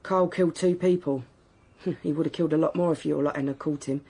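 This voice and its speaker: Husky, blunt young wife. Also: female voice, deep voice, steady tone, brisk, mellow. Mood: defensive, fed up. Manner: colloquial, outspoken, tactless. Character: blunt, defensive working-class wife of a missing man. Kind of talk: naturalistic radio drama acting.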